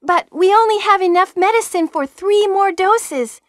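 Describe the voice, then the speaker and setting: A woman's voice: extremely high pitch, normal speed, no echo, clean studio sound. A woman playing an eager, girlish young rookie medic in a horror video game, with perky, stiff phrasing. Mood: helpful, concerned. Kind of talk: stilted 1990s video game voice acting.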